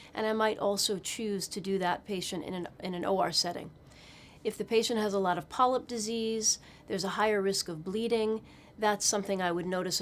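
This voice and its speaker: Woman, 40s, ENT surgeon, calm explanatory read, mid-range voice. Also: medium pitch. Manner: measured, explanatory, clinical. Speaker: otolaryngologist. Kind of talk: interview to camera.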